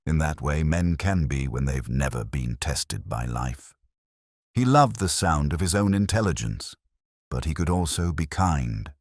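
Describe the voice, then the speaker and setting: A man reads as a melancholy woman journalist in an audio drama, guarded and quietly detached. The voice is very deep and restrained, recorded dry. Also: sing-song, measured pace, dry recording, exaggerated voice. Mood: wistful.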